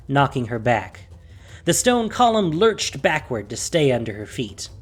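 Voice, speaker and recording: A woman with a deep voice narrates a dramatic story. Her pitch moves widely for emphasis. The delivery is theatrical and intense, clearly scripted narration.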